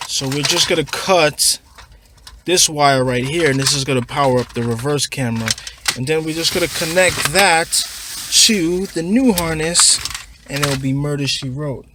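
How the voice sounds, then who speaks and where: Higher-voiced man over loud background noise. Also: normal speed. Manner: informal, talking through each step while working. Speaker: car audio installer, presenter. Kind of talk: hands-on installation tutorial, unscripted. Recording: noisy, clicks and handling of parts.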